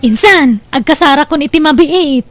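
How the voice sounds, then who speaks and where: A woman's voice: sits high, lively intonation, normal speed, soft background music. A woman with an Ilocano accent playing a teasing young female cousin in a comedy radio-style drama. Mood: playful, teasing.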